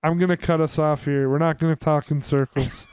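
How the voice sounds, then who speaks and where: A man's voice: a little high, fairly even intonation, brisk, a little mellow, clean tone. A man chatting casually as a podcast co-host, steering the discussion decisively. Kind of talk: casual chat.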